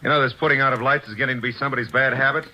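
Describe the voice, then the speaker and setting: A man's voice: medium pitch, fairly even intonation, muffled recording, background noise, natural voice. An actor playing a brash, wisecracking male assistant detective in a vintage radio drama, breezy and slangy. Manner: breezy, slangy, quick with quips. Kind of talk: 1940s radio acting.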